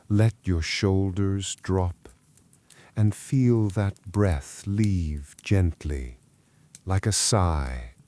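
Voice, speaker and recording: Very slow, low, mellow soothing male narrator. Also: no room sound. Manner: gentle, calm, unhurried, soothing. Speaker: bedtime story narrator. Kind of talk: soothing guided relaxation and bedtime story narration.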